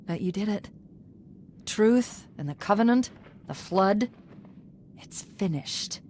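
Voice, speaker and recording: A woman's voice: medium pitch, expressive, slow pace, a little mellow. An actress playing a caring, devoted female artificial intelligence companion in a video game, tender and intimate in manner. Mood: earnest, reflective. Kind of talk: dramatic video game cutscene acting. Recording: faint ambient noise.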